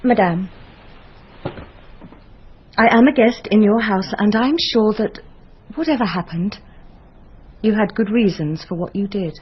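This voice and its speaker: An actress playing an earnest, naive eighteen-year-old bride in a radio drama, deferential and hesitant, with a husky voice. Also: female voice, mid-range voice, unhurried, pauses often, highs cut off, slight background noise, lightly acted. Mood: flustered, earnest.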